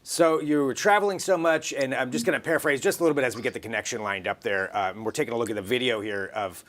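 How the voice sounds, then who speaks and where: A man's voice: medium pitch, fast talker, fairly bright tone. Chatty male live show host. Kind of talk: live interview and unscripted presenting.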